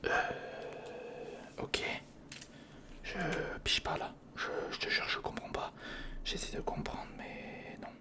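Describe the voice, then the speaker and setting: A man speaking in a breathy whisper, giving informal ASMR commentary while building something, muttering half to himself and half to the viewer. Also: takes it slowly. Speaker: ASMR video creator.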